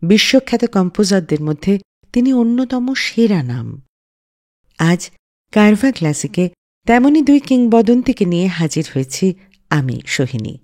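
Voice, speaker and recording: A woman presenting a scripted radio music show in a measured storytelling manner with dramatic pauses. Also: mid-range voice, big pitch swings, a little mellow. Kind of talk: scripted radio narration introducing songs.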